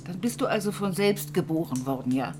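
A woman's voice: fairly low pitch, expressive, a little fast, loud music behind the voice, close to an everyday voice. An actress playing a stern, secretive older foster mother in a radio drama, grave and admonishing.

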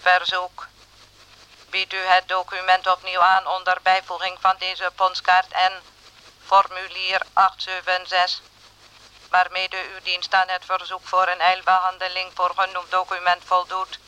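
A man's voice: sits high, unhurried, clean tone, heavily acted, poor audio. A man voicing an emotionless bureaucratic computer in a radio drama, reading official notices mechanically. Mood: impersonal, mechanical. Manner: flat, mechanical, impersonal.

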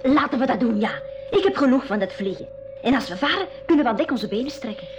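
A woman's voice: on the high side, expressive, fast, highs cut off, over music, close to an everyday voice. A woman playing a curious, frank young girl traveller in a school radio play. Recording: noisy, humming 1980s radio drama recording.

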